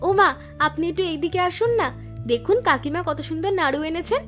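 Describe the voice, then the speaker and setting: A young woman voicing a gentle, caring village daughter-in-law in an animated moral story. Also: very high-pitched, fairly quick. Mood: cheerful, bashful. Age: young adult. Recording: music behind the voice.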